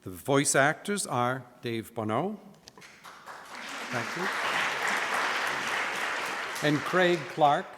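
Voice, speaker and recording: A man's voice: medium pitch, lively intonation, very slow, pauses often. A man announcing closing credits for a live radio drama, reading to an audience in a hall. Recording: reverberant church hall, noise, audience crowd.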